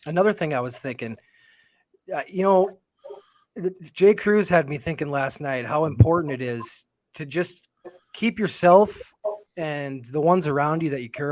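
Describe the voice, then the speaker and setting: A man in his forties co-hosting a casual livestream chat, speaking in a reflective, halting way with many fillers. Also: a little high, no room sound. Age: 40s. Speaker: livestream co-host.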